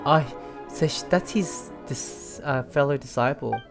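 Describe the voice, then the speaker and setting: Young man's casual gaming commentary, mid-pitched. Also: a little slow, vocal fry. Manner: giggly, informal, thinks aloud. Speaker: gamer playing and reacting to a story quest. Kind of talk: casual let's play commentary. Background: game music.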